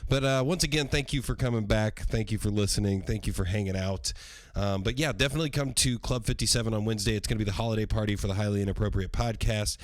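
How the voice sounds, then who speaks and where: Hoarse low-voiced man, rambling casually. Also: fast, no echo. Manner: rambling and profane, with many ums. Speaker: podcast host. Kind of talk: casual solo podcast livestream.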